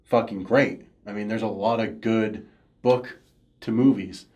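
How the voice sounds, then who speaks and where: A man's voice: on the low side, fairly even intonation, measured pace, darkish tone. A man co-hosting a casual panel chat, speaking bluntly and swearing often. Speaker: podcast co-host.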